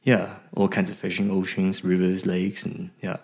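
A middle-aged man from Taiwan chatting casually as an interview guest, often halting and repeating words. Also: sits low, completely flat delivery. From Taiwan, later Australia and Canada. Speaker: podcast guest, fishing website founder.

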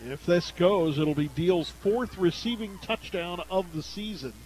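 Casting note: high-pitched male play-by-play announcer, brisk game calling, local radio broadcast. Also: a little mellow, poor audio.

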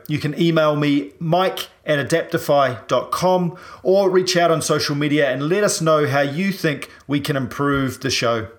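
Enthusiastic male podcast host. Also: fairly high pitch. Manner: enthusiastic, repeats words when asking questions. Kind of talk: hosting an interview podcast.

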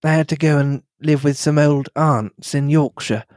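A man reading the lines of a reserved young English wife, speaking quietly and in a measured way. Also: fairly high pitch, dry recording, clean studio sound, natural voice. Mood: thoughtful.

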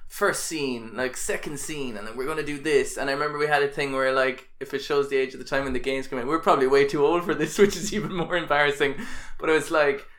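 Jokey, rambling man with a bright voice. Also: mid-range voice, fairly even intonation, fast, few pauses. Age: around thirty. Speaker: podcast guest. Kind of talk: casual chat reminiscing with an old friend.

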